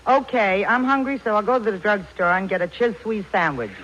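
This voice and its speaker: A woman's voice: medium pitch, animated, a little fast, a bit bright, close to an everyday voice. Brassy young female sidekick acted by a woman, dry wisecracks, old-time radio comedy, noisy muffled recording.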